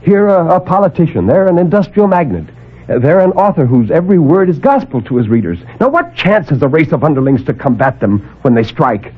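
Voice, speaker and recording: A man's voice: fairly high pitch, very animated, slight background noise. Desperate, pleading man on old radio. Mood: urgent, fearful. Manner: urgent, emphatic, halting delivery. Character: desperate male escaped captive pleading to be believed. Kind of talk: 1950s radio drama acting. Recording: narrowband old AM radio recording.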